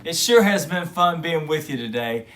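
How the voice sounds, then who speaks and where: A man reading a fairy tale aloud to children in a gentle, friendly storybook manner, then chatting warmly to his young listeners. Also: a little high, lively intonation, moderate pace. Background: guitar music.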